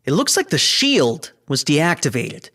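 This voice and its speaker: Station officer, lightish pitch, businesslike, dismissive, sci-fi audio drama. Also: male voice, wildly swooping pitch, a little slow, gravelly, bright, forceful tone, a little hiss or hum, exaggerated voice. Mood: alarmed. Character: skeptical, businesslike male shield station operator.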